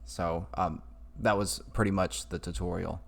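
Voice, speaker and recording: A man with a low voice walks through a casual computer tutorial. He takes his time, speaking slowly and informally as he improvises each step. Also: fairly even intonation, vocal fry. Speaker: tutorial maker. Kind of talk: casual screen-recorded tutorial.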